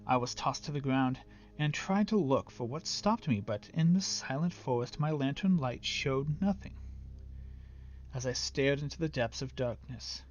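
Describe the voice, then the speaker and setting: A man reading a scripted story as a narrator, with a measured, literary and theatrical delivery. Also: medium pitch, highs cut off. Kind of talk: dramatic scripted audiobook narration.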